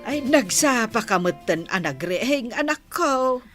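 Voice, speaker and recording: A woman's voice: a little high, lively intonation, measured pace, fairly bright tone, faint music behind. A woman playing a caring, chatty village mother in a radio-style drama.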